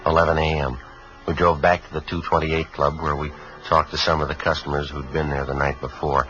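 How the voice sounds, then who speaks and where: A calm American police sergeant from 1950s radio drama. The voice is extremely low with a firm, bright edge. Delivery is clipped, deadpan and factual, on a dull old broadcast recording. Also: male voice, brisk, faint music behind. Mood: flat, factual. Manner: deadpan, terse, matter-of-fact. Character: calm, businesslike male police sergeant narrating his case.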